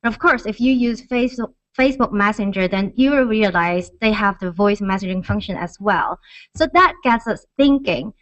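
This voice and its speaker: Woman startup founder doing her conference talk, medium pitch, kinda muffled lo-fi audio. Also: normal speed, a bit bright, no echo. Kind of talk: conference pitch and question answering. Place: conference stage. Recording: dull, lo-fi event recording.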